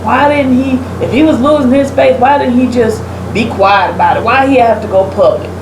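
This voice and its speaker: A woman's voice: fairly high pitch, brisk. A woman host giving opinionated commentary in conversation, in an emphatic, preacherly manner full of rhetorical questions. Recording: roomy echo, clear air-conditioning-like background noise.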